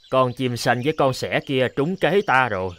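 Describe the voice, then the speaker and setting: A man's voice: medium pitch, lively intonation, a little slow, fairly bright tone, a little hiss or hum. A man voicing a boastful, scornful, scheming crow in a children's cartoon, with a smug, theatrical delivery. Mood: gloating.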